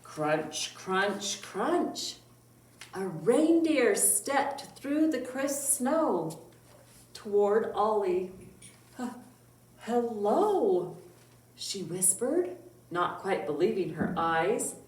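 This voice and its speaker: A woman reading a picture book aloud to young children as a storytime librarian, with drawn-out words and dramatic emphasis. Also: mid-range voice, sing-song, darkish tone. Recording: roomy echo, distant mic, faint noise.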